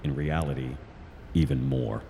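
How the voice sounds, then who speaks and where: A man's voice: a bass voice, big pitch swings, unhurried, vocal fry, dark tone, heavily acted. A man playing a cautious, wry scientist in charge of a team in an audio drama. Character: cautious, dry-humoured male scientist team leader. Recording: long reverb, faint background noise.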